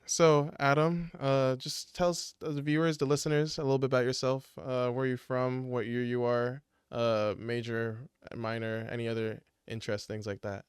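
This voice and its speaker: A man's voice: mid-range voice, little pitch movement, moderate pace, hardly any pauses, clean tone, no echo, studio quality. A young man hosting a casual podcast interview, laid-back, with lots of "yeah" and "uh" fillers. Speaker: podcast host and editor.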